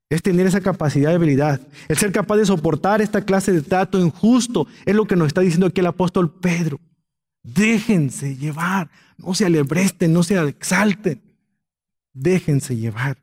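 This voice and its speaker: Guy pastor preaching, kinda high voice, emphatic, long echo like a big hall. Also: darkish tone. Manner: emphatic, illustrates points with everyday examples. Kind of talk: sermon, Bible teaching.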